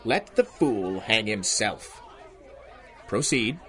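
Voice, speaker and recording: An actor playing a stern, blustering judge in a 1930s-style radio drama, commanding and declamatory. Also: male voice, fairly low pitch, lively intonation, slow pace, sharp tone, some chatter behind, exaggerated voice. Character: stern, blustering male judge of a mock court. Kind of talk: 1930s radio serial acting.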